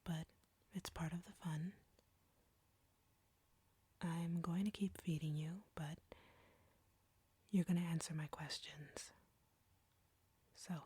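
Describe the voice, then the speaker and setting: Female vampire captor, acted by a woman, audio roleplay: low, close and dry, whispery, slow, smug and flirtatious. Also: warm tone, no echo, exaggerated voice. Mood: playful menace. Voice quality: breathy, whispery. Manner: slow, taunting, condescending.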